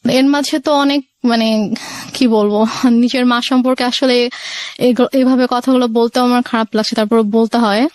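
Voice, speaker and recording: A woman's voice: fairly high pitch, fairly even intonation, moderate pace, a little mellow, dry recording. A woman in her twenties with a Bangladeshi accent, telling her life story in a radio interview and mixing in occasional English words. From Sirajganj, Bangladesh. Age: 20s.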